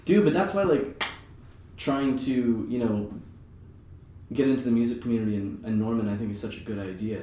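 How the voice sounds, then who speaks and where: A man's voice: mid-range voice, moderate pace, warm tone. Young man, distant in an echoey room. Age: young adult. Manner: informal, slangy. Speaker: podcast host. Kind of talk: casual chat.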